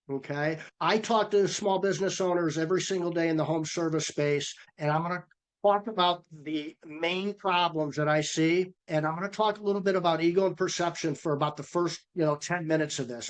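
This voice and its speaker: A middle-aged man presenting a webinar on a video call, a bit hoarse from a cold, with a folksy, energetic sales-coach delivery. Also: high voice. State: losing his voice from a cold.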